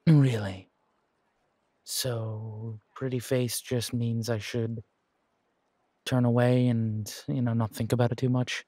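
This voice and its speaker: A man playing a menacing, wry pirate captain in a roleplay audio, speaking in a taunting, deliberate drawl with dramatic pauses. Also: medium pitch, level tone, mellow, no room sound, natural voice. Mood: suspicious, taunting. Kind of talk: scripted roleplay audio acting.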